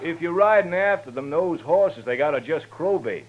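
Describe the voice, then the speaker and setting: A man's voice: fairly high pitch, expressive, normal speed, phone-quality sound. A man playing a talkative, folksy American livery stable keeper in a 1950s radio western, speaking hesitantly. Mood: folksy, obliging. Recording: noisy old radio recording.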